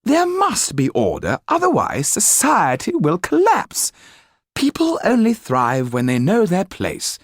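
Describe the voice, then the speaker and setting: A man's voice: high-pitched, wildly swooping pitch, measured pace, dry recording, clean studio sound, pitched above the performer's own voice, heavily acted. A man voicing a haughty, indignant aristocratic lady from Paris in an audiobook story. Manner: imperious, emphatic.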